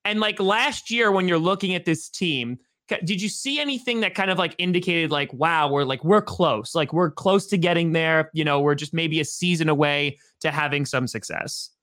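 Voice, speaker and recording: A young man with a high voice hosts a sports podcast, chatting loosely and excitedly with plenty of "like" and "uh." He sounds casual and amped. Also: normal speed. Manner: excited, loose, lots of fillers. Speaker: podcast host.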